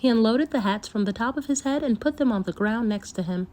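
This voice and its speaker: Female storyteller, higher-pitched voice, expressive and deliberate read-aloud of a folktale for kids.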